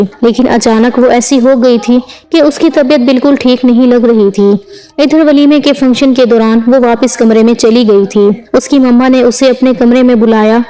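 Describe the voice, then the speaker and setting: A woman's voice: on the high side, warm tone, low-quality recording. A woman reading a scripted romantic drama story as its narrator.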